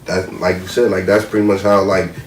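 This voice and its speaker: Low-voiced American man, slangy and echoey. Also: level tone, a little fast. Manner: slangy, profane, repetitive verbal tags. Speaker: podcast panelist. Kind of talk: casual opinionated chat. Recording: roomy, distant mic, faint noise.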